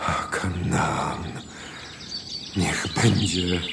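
Casting: aged, stern biblical patriarch, drawn-out emphatic delivery, somewhat low, heard at a distance. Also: male voice, steady tone, gravelly, very noisy, exaggerated voice. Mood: solemn, declaiming. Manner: very slow, emphatic declaiming. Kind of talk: dramatised audio Bible. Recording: distant from mic, faint outdoor ambience.

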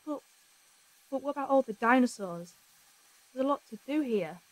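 A woman's voice: high-pitched, expressive, normal speed, low-quality recording. A woman acting a young, earnest, plain-spoken female companion in an audio drama. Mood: concerned.

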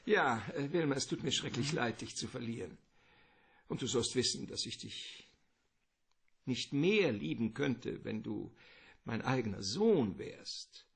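An actor playing a wordy, courteous, calculating middle-aged crime boss in a radio detective drama, with theatrical politeness. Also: male voice, medium pitch, expressive, a little slow, vocal fry, breathy edge, exaggerated voice. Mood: mock regretful.

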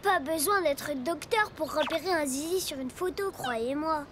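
A woman voicing a spirited, bickering child superhero in an animated cartoon, teasing and emphatic. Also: high voice, moderate pace, noisy background, natural voice. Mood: teasing, cocky. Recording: music behind the voices.

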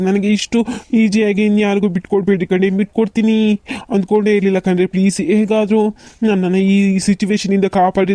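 A man's voice: high-pitched, warm tone, no room sound, lightly acted. A man voicing a desperate young man in love who pleads and complains in a cartoon. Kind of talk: cartoon voice acting.

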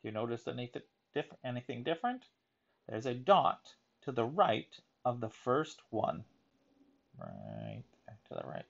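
A man reading a children's picture book aloud in a deliberate, emphatic teaching manner, adding explanatory asides. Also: medium pitch, huge pitch swings, creaky, a bit bright, muffled recording.

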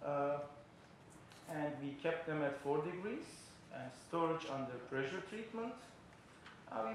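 A man's voice: mid-range voice, a little hiss or hum. A middle-aged man giving a keynote lecture at a podium microphone, explaining step by step with frequent fillers. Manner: explanatory, frequent uh fillers, non-native phrasing. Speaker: keynote speaker. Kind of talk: conference lecture. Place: large conference hall, indoors. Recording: very echoey hall with faint ambience.

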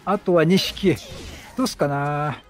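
A man giving casual video game commentary, chatty and jokey, reacting out loud with exclamations. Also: fairly high pitch, measured pace, faint music behind. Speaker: game streamer.

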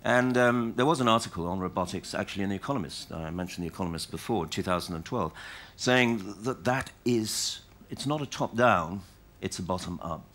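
A middle-aged man on a conference panel, explaining his point in a measured way. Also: low voice, a bit bright. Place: conference hall stage.

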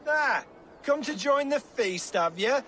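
An actor playing a weary, sardonic Norse council lord in a video game, with a dry, resigned manner. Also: male voice, very high voice, lively intonation, unhurried, sharp tone, slight background noise, full character voice. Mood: weary sarcasm. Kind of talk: video game dialogue acting.

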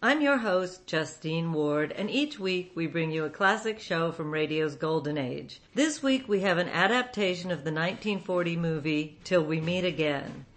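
A woman's voice: lowish voice, expressive, moderate pace, hardly any pauses. Lady radio host reading a scripted show intro.

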